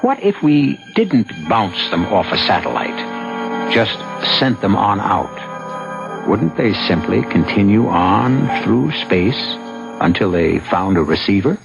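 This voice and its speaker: A lowish, gravelly male host narrates a 1970s radio drama. His delivery is theatrical and musing, with animated intonation over clear music. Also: takes it slowly, highs cut off. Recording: old radio broadcast with music behind.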